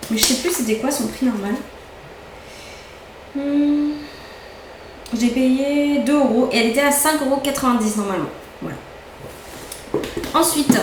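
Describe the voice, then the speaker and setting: Young woman, bright-pitched, gushing haul chatter, roomy home recording with a faint hum. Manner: chatty, enthusiastic, repeats words for emphasis. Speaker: beauty vlogger. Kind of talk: casual haul chat. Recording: echoey room, faint ambience.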